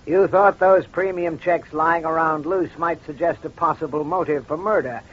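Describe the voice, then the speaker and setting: An actor playing a shrewd, self-assured crime doctor in an old radio detective drama, speaking in a deliberate, accusatory way. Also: male voice, fairly high pitch, slightly rough. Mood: methodical, confident. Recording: dull, muffled old radio recording.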